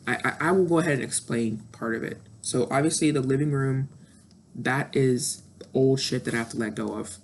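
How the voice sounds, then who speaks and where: Gravelly, candid woman in her thirties. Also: extremely low pitch, moderate pace, a little hiss or hum. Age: 30s. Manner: candid, introspective, with frequent fillers. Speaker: vlogger. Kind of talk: reflective personal monologue.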